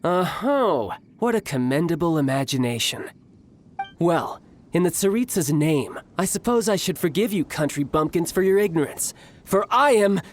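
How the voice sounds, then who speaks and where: A man voicing a cocky young warrior in a video game, smooth-talking, smug and formally worded. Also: a little high, animated, moderate pace, a little hiss or hum, lightly acted. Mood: smug, mocking. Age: young adult. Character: cocky, theatrical young male warrior posing as toy salesman.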